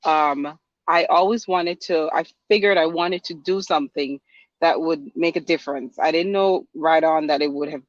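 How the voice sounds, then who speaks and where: A woman with a fairly low voice answers interview questions hesitantly, pausing often with ums. The audio is dry and dull, a bit lo-fi. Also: no echo. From Guyana. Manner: hesitant, halting, many ums. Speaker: guest attorney.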